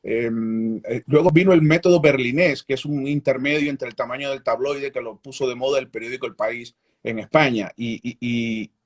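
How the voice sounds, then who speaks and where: Cuban journalist guest, middle-aged man, husky voice, candid and measured. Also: medium pitch, fairly even intonation. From Cuba. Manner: frequent restarts, measured and candid. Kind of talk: reflective interview answers.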